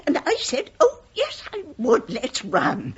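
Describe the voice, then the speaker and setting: A woman's voice: high-pitched, huge pitch swings, slow, husky, heavily acted. An older actress playing a genteel, spirited and enthusiastic upper-class English lady in her eighties, in a British radio play. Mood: thrilled. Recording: dull, lo-fi old radio recording.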